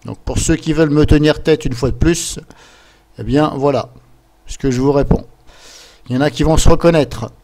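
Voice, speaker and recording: A man presenting a casual screen-capture software tutorial, explaining things step by step in an informal, chatty way. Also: fairly high pitch, normal speed, pauses often. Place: voice-over recorded at a computer during screen capture.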